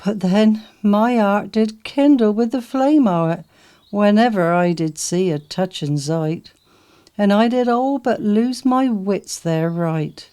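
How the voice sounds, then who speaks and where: A woman with a Dorset accent recites dialect verse. Her voice is mellow and mid-pitched, and she takes the lines slowly. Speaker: poetry reader, literary society member.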